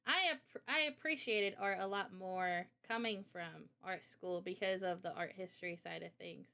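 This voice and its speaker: A woman's voice: mid-range voice, moderate pace, gravelly, sharp tone. A woman chatting casually on a livestream Q&A in a giggly, informal way, as the host. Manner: giggly, laughs often while talking. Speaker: host and visual novel developer.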